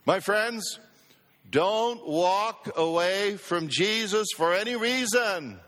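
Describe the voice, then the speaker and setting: A man's voice: sits high, animated, slow, a bit bright. A male pastor preaching a sermon, emphatic, calling out to the congregation for responses. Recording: long hall reverb.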